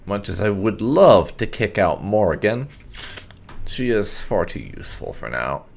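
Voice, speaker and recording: A man's voice: lowish voice, sing-song, a little slow, gravelly, darkish tone. A man giving casual gameplay commentary as host, musing and thinking aloud. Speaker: gaming video host. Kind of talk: casual gameplay commentary, lightly role-played. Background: game music.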